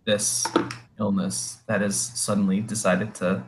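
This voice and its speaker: A man running a tabletop game with a sore throat, talking haltingly with many fillers. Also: on the low side, level tone, background noise. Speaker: game master. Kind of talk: casual tabletop game chat.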